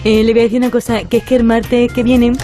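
Actress doing a high-pitched, frantic eccentric housewife. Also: female voice, level tone, fast talker, warm tone, soft background music, natural voice. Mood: flustered, chatty. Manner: rambling, stammering, dramatic. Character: frantic older eccentric housewife contestant prone to fits. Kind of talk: radio comedy sketch acting.

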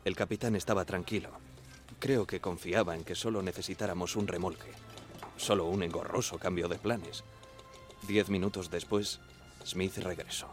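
An actor playing a deferential ship's wireless operator giving measured, careful testimony in a radio drama. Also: male voice, deep voice, steady tone, faint music behind, close to an everyday voice. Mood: sombre recollection.

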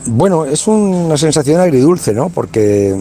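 A man's voice: on the high side, sing-song, measured pace, mellow. A man from Spain, organizer of a youth football tournament, talking in a casual radio interview, colloquial and full of restarts and tag questions.

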